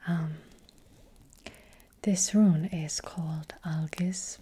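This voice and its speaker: A woman playing a gentle, hesitant witch fortune teller in an ASMR roleplay, speaking in a breathy, hushed whisper. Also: low voice, fairly even intonation. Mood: gentle, mysterious. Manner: slow, hushed, hesitant, unhurried. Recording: close-up with faint background noise.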